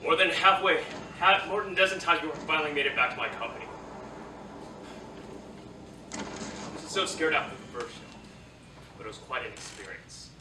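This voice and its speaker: A man performs a young soldier writing home to his love from war, in a fairly high male voice. It is a staged play reading, caught from off in a noisy, echoing theatre. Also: fairly quick, pauses often, lightly acted. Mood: tense, vivid recounting. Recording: echoey theatre, distant mic, noisy.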